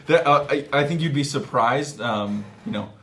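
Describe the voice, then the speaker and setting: Young man with a medium-pitched voice. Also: normal speed, fairly bright tone, slight background noise. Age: 20s. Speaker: author and guest. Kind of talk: casual interview chat. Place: small office meeting room, seated at a table.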